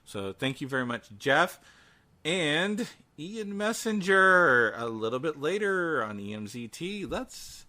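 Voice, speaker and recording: Bright-voiced man hosting a lively casual show. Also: a little high, slow. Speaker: show host. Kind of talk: casual radio-style show chat.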